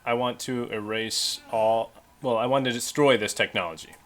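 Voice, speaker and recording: A man with a voice of middling pitch plays a tabletop role-playing game. His talk is casual, as a player working out his moves at the table. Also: fairly even intonation, moderate pace, a bit bright.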